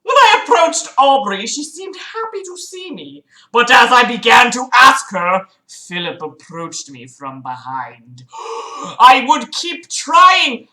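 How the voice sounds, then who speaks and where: A woman's voice: a little high, very animated, slow pace, pushed and bright, roomy sound, poor audio. A woman hosting a livestream, reading a book aloud mockingly with sarcastic, exasperated asides and casual swearing. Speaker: livestream host. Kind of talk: mocking read-aloud of a book with casual commentary to live chat.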